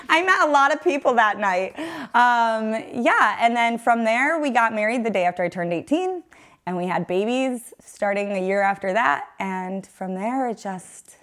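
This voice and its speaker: Chatty young woman guest, laughing. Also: mid-range voice. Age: 20s. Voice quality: loud voice held back to a calm tone. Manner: outgoing, laughs often, chatty. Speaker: podcast guest. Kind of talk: casual chat.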